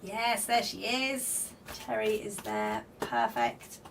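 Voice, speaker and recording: A woman with a slightly croaky voice, reading spirit cards on a livestream and chatting with viewers by name, giving flowing spiritual guidance. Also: mid-range voice, very animated, slow. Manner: reads comments aloud and greets viewers by name. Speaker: tarot card reader on a livestream. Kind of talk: live card-reading stream, chatting with viewers. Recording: slightly distant mic with faint background noise.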